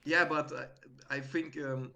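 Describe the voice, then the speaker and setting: A man casting a match in English, which is not his first language, speaking hesitantly with many fillers and soft laughs. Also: fairly high pitch, steady tone, slow pace, gravelly. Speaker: esports co-caster. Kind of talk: casual pre-show chat.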